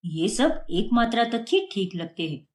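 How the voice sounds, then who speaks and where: A young husband in a cartoon moral tale, voiced by a woman. He is caring yet reproving, admonishing in a deliberately lowered voice. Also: very animated. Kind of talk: Hindi moral-story cartoon voice acting.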